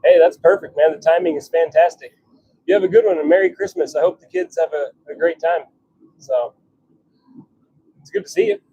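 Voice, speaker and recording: A man chatting casually on a live stream, thinking out loud as he works in his workshop. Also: fairly high pitch, very animated, fairly quick, gravelly, pauses often, slightly rough, rough recording. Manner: chatty, thinking out loud while working at the forge. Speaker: livestream host, hobby blacksmith. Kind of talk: casual live-stream chat while working. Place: home forge workshop.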